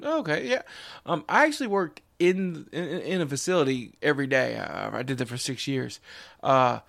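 A man's voice: mid-range voice, animated, normal speed, gravelly, a bit bright, no room sound. A man in his forties hosting a podcast in casual chat, rambling with restarts and wry asides. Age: 40s. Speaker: podcast host.

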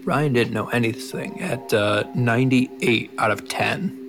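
A man playing a tabletop game, talking through his reasoning in a deliberate way. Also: mid-range voice, over music. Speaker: player. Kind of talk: casual game chat.

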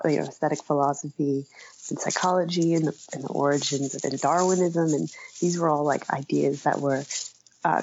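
Low-voiced woman, halting and thoughtful. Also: gravelly, darkish tone, lo-fi sound, a little hiss or hum. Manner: halting, thoughtful, often rephrasing mid-sentence. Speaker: author, interview guest. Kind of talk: conversational interview answers.

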